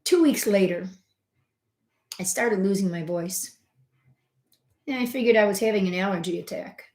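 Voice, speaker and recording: A middle-aged woman, a homeopath, talks to her viewers in a loose, chatty way, full of fillers and side remarks. She jumps between loud, emphatic bursts and quiet asides at a mid pitch. Her voice rings a little in a roomy space. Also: moderate pace. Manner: expressive, swings from loud emphasis to soft asides. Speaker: homeopath hosting a health channel. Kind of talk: casual personal storytelling to camera. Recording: roomy echo.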